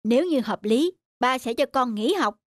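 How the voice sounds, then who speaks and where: A man voicing a patient, gentle father of young boys in an animated family story, explaining and coaxing. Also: very high voice, moderate pace. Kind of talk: animated family drama acting. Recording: music under the voice.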